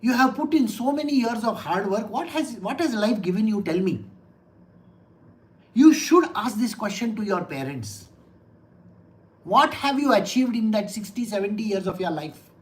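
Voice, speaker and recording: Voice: medium pitch, expressive, normal speed, low-quality recording. Indian-accent preacher scolding the crowd, sarcastic, drops hindi bits. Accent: Indian English. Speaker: spiritual teacher. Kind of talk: scripture discourse to an audience.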